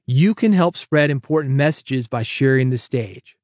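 A man's voice: medium pitch, lively intonation, moderate pace, a little mellow, dry recording, clean studio sound. A man hosting his own solo podcast, talking casually and coaching listeners, often calling them "man". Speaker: podcast host.

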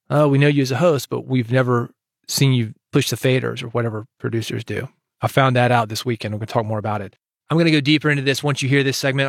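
A man's voice: medium pitch, dry recording, studio quality. A man hosting a podcast solo, talking conversationally as an experienced audio producer.

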